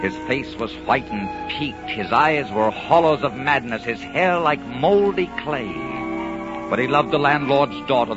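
Casting: actor as dashing highwayman-poet, intense mid-pitched male, swooping 1940s radio drama delivery, muffled sound. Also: lightly acted. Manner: intense, declamatory, romantic. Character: bold, romantic highwayman and dramatic verse narrator. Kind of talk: theatrical 1940s radio acting and verse recitation.

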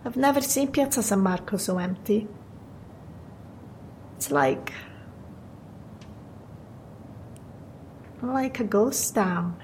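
A woman's voice: mid-range voice, a little slow, a little mellow, a little hiss or hum, lightly acted. A woman playing a chatty, fatalistic Venetian waitress in an audio drama, speaking English with an Italian accent and non-native phrasing. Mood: hushed, wistful. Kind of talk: naturalistic audio drama acting.

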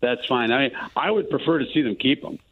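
A man with a hoarse, failing voice, a sports columnist calling in, talking casually with halting fillers and restarts. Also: mid-range voice. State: losing his voice, hoarse. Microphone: phone line. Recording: tinny phone line.

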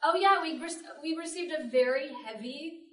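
Higher-voiced woman moderating in a roomy space. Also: normal speed, a bit bright. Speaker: panel moderator. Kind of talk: casual live panel chat. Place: public library panel room. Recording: roomy, distant microphone.

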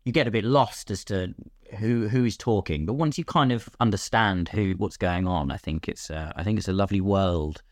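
A man with a low voice talks as a guest in a casual interview. He explains things in an animated way, slipping into imagined quotes. The sound is dry, with almost no room in it. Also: moderate pace, hardly any pauses, no echo. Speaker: guest, radio drama actor.

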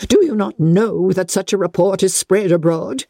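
A woman's voice: medium pitch, animated, moderate pace, mellow, dry recording, clean studio sound, voice pushed up. A woman voicing a haughty older aristocratic lady in a storybook reading, domineering and indignant, with pompous, commanding emphasis. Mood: indignant, angry.

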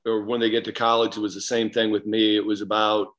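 A man's voice: on the low side, little pitch movement, quick pace, fairly bright tone. A man co-hosting a sports podcast, giving blunt, opinionated takes in casual chat. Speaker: podcast co-host, former player.

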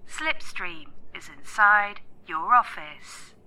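A female robot assistant for an audio drama. The voice is very bright and sharp, delivered slowly in clipped, literal announcements. It sounds dutiful and entirely businesslike. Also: mid-range voice, big pitch swings, slight background noise, exaggerated voice. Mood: flatly dutiful.

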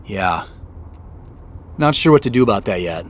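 An actor playing an uncertain, awkward man in a naturalistic audio drama, with hesitant, understated delivery and a husky voice. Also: on the low side, expressive, normal speed. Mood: uneasy. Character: uncertain, awkward, subdued man returning to his past.

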